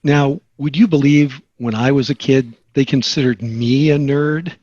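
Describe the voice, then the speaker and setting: Man playing a husky, well-meaning sitcom dad. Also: mid-range voice, steady tone, measured pace, darkish tone, lo-fi sound, natural voice. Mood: gently scolding. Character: sitcom dad, well-meaning, self-admitted former nerd, easily miffed. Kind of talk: amateur table read of a TV sitcom.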